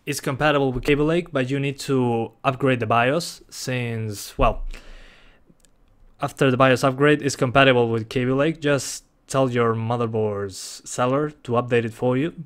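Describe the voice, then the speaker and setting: A man's voice: medium pitch, moderate pace, clear, resonant tone. A young man from Uruguay with a Rioplatense Spanish accent, a native Spanish speaker casually reading out and answering chat questions on a live stream with frequent chuckles. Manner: relaxed, reads questions aloud, chuckles often.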